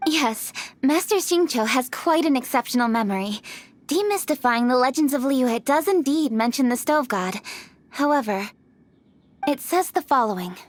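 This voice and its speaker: A woman's voice: sits high, expressive, normal speed. An actress voicing a formal, composed young female official in a fantasy video game, speaking precisely. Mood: matter-of-fact. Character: poised, formal, diligent young noblewoman official.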